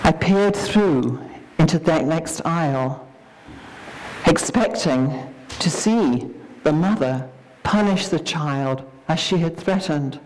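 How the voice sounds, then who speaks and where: A woman giving a dramatic, expressive reading of a scripted story, with deliberate pauses. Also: deep voice, poor audio.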